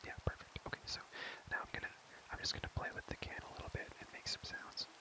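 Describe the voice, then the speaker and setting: A young man whispering close to the mic in a breathy, rambling ASMR gaming chat, softly repeating his phrases. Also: quick pace, poor audio. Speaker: ASMR video creator. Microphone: close ASMR microphone.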